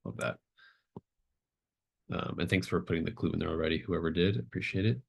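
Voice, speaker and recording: A man with a very deep voice runs a tabletop game. He improvises the narration conversationally, feeling out each phrase as he goes and thinking aloud in a casual style. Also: very animated, brisk, gravelly, breathy edge. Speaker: game master. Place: remote online group call.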